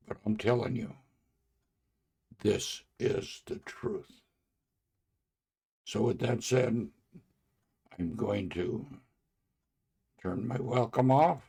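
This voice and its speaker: A hoarse man with a sore throat hosting a podcast, talking through a casual faith testimony in an earnest, halting way with many filler pauses. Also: lowish voice, warm tone. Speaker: podcast host.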